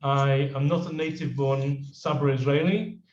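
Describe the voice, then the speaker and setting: A man's voice: medium pitch, fairly even intonation, moderate pace, a little mellow. A middle-aged man from England with an English accent, giving a casual talk as a guest speaker on a video call, with many fillers and restarts. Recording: roomy, dull lo-fi video call.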